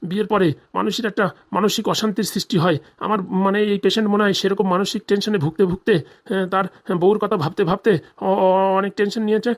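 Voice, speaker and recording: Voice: medium pitch, close to an everyday voice. A performer voicing a nervous, evasive small-time male village doctor in a comedy cartoon, stammering, pleading and grumbling. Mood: defensive, explaining.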